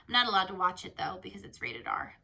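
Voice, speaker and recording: A woman's voice: medium pitch, very animated, quick pace, creaky, bright, forceful tone, slightly rough, heavily acted. A woman voicing a shy, self-conscious, reserved schoolboy in a children's storybook. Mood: incredulous. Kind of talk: storybook character reading.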